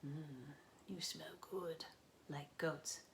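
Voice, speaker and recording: A woman voicing a huge, half-blind male Cyclops who is impatient and hungry, bellowing demands and murmuring low. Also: unhurried, bright, forceful tone, a little hiss or hum. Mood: greedy.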